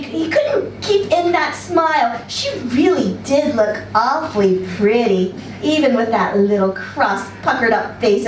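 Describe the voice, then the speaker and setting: A woman giving an expressive literary narration as a reader at a live story event. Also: sits high. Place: social venue, live reading. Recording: roomy, distant mic, faint noise.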